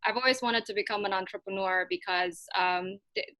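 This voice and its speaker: A woman's voice: medium pitch, fairly even intonation, brisk, sharp tone, no echo. A woman speaking off the cuff as a startup founder on a panel, often rephrasing herself informally. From Indonesia. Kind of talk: spontaneous panel answer.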